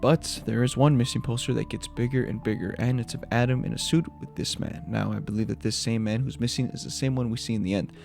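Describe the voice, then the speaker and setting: A man's voice: mid-range voice, fairly even intonation, fast, vocal fry, hardly any pauses, darkish tone, soft background music. A man giving a scripted breakdown of a horror video as its creator, in voice-over narration.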